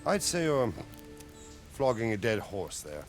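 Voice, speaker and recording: An actor playing a wry, unhurried soldier in a video game cutscene. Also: male voice, fairly low pitch, big pitch swings, faint music behind.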